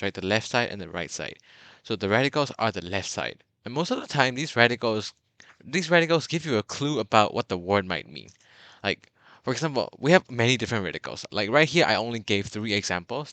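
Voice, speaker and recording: A young man from Burma talks through a beginner's lesson in a low, bright and crisp voice, dotted with fillers and restarts. The sound is dry and close, with no room around it. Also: no echo. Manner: chatty, many fillers and restarts. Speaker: language tutor. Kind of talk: casual video lesson.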